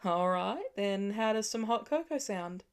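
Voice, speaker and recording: Weary family father, gentle and protective, voiced by a woman narrator, storybook dialogue. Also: medium pitch, animated, a little slow. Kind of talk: audiobook character voice in fan fiction.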